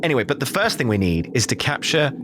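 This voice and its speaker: A man's voice: mid-range voice, big pitch swings, fast, background noise, lightly acted. A man playing a vengeful, scheming gang boss in an improvised tabletop game, blunt and theatrical. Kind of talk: improvised tabletop role-play. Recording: music behind the voice.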